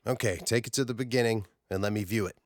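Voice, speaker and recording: A man voicing a steady, commanding male space-mission leader in an audiobook, measured and matter-of-fact with a dry edge. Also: on the low side, dry recording, pitched above the performer's own voice, close to an everyday voice. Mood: measured, concerned.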